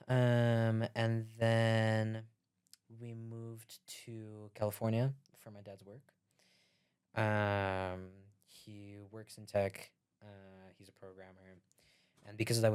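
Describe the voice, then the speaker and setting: A man from Latvia, a podcast guest, talking casually in a relaxed, unhurried way with many pauses. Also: fairly low pitch, clean tone. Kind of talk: casual conversation.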